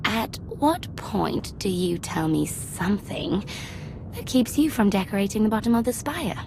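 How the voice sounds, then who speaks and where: An actress playing a volatile, sadistic young villainess in a video game, mockingly playful and theatrical. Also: female voice, medium pitch, big pitch swings, unhurried, creaky, noisy background. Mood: mocking menace. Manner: theatrical, taunting.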